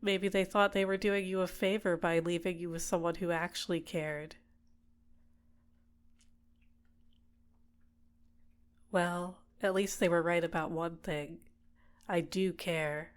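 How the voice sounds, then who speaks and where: Woman as female villain captor, slightly low voice, soft, soothing, coaxing, possessive, intimate ASMR roleplay. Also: steady tone, normal speed, clear, resonant tone, lightly acted. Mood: sympathetic, insinuating.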